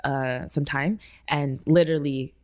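A woman's voice: low-pitched, steady tone, measured pace. A woman, chatting casually as an interview guest and telling personal stories. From Canada. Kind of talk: casual conversational storytelling.

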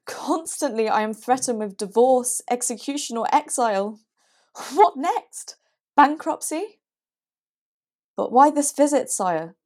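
A woman's voice: high voice, expressive, measured pace, a little mellow. A woman playing a proud, persecuted queen in a period audio drama, with formal, theatrical diction. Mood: anxious.

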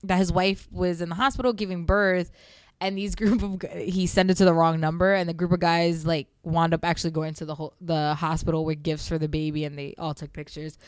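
A woman's voice: lowish voice, little pitch movement, brisk, smooth, no room sound. A woman co-hosting a chat show, telling anecdotes in a casual conversational style. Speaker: podcast co-host.

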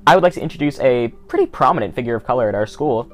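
A teenage American boy hosts a casual interview podcast. His pitch moves around a lot as he talks, and he slips into sarcastic asides. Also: lively intonation, very fast, faint music behind.

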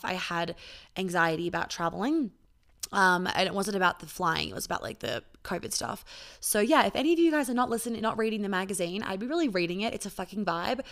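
A woman's voice: mid-range voice, fairly bright tone. Chatty, foul-mouthed young woman. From born in Australia, raised in New York, Sydney and Melbourne. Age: young adult. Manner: chatty and candid, swears freely, lots of "like" fillers. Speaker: podcast host and intimacy coach. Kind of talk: casual solo podcast monologue.

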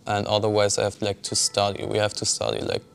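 Flat-voiced young man. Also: on the low side, fairly quick, slight background noise. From Germany. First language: German. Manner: hesitant, many fillers. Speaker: guest student. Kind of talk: casual interview answers.

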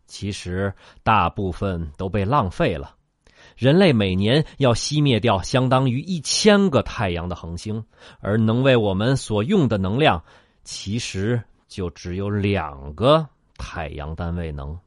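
A man acting in a sci-fi radio drama as a centuries-old future human, speaking in a measured, matter-of-fact way. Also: on the low side, lively intonation, no echo, studio quality. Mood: concerned. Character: far-future man aged 223, measured, unsurprised questioner.

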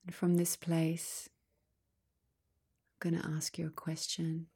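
A woman guides a meditation in a low, husky near-whisper with a creaky edge. She speaks very slowly and calmly, in short phrases with long pauses. Her tone stays soft and even throughout.

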